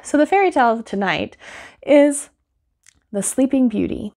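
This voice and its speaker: A woman's voice: high-pitched, very animated, unhurried, dark tone. Wry, chatty woman hosting a book vlog. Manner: wry, sarcastic asides, giggly. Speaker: host of a book channel. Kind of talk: casual, chatty storytelling review to camera. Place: small indoor room at home, by a bookshelf. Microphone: lapel mic.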